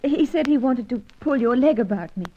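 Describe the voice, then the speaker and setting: A woman's voice: a little high, animated, a little fast. An actress playing a demure, apologetic young English woman in a radio adventure serial, speaking in a hesitant, coy, polite way. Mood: coy, uneasy. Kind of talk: old-time radio adventure serial acting. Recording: dull old radio recording.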